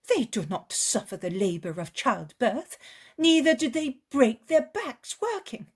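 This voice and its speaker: Poor, worn-out village mother, pleading and complaining loudly, high and husky as a woman reader puts her on. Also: animated, a little slow. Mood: bitter.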